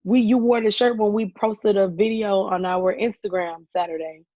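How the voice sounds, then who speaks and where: A woman co-hosting a casual podcast over a video call, hoarse from losing her voice and talking loudly to be heard, with frequent ums. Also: medium pitch, steady tone, moderate pace. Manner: talks loudly to push past a weak voice, with frequent ums. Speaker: podcast co-host. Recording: dull lo-fi video call audio.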